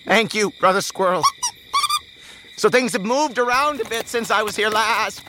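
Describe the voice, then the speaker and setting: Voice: medium pitch, normal speed, noisy background, lightly acted. An actor voicing a talking magical goat in an audio drama, a friendly guide with a bumbling, flustered manner. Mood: flustered, chatty. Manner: bumbling, hesitant, exclamatory.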